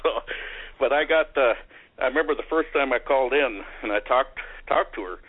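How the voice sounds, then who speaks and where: A man's voice: mid-range voice, brisk, breathy edge, no room sound. A man hosting a casual radio chat, easygoing and agreeable. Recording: tinny dry phone-like sound.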